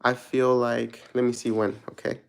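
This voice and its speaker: A man from Mexico doing a casual tarot reading for viewers, rambling and searching for words, relaxed and laughing at himself. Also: medium pitch, normal speed, creaky, clean tone. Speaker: tarot reader answering viewer questions.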